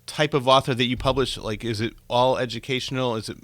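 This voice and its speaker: A man's voice: medium pitch, fairly even intonation, quick pace, dry recording. A man hosting an interview show, giving scripted introductions and asking conversational questions.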